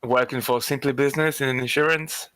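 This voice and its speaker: A man's voice: medium pitch, fairly even intonation, a little fast, fairly bright tone, dry recording. A man from Poland, living in England, chatting casually and laughing easily in a voice chat. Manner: friendly, laughs easily, self-deprecating. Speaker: chat participant.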